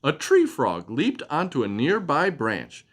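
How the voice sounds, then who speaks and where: A man reading a children's picture book aloud as a storytelling father, expressive and explaining things to a child. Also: on the high side, measured pace.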